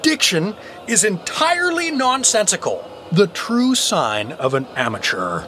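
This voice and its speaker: An actor playing a clueless but cocky, bluffing underdog hero in a comedic audio drama. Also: male voice, high voice, sing-song, measured pace, bright, forceful tone, a little hiss or hum, exaggerated voice.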